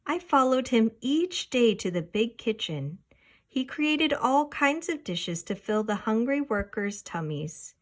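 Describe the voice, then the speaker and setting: A woman voicing a gentle, patient mother in a children's storybook, buttery and encouraging as she explains things to her young daughter. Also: fairly high pitch, very animated, measured pace, pitched above the performer's own voice. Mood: fond, gentle.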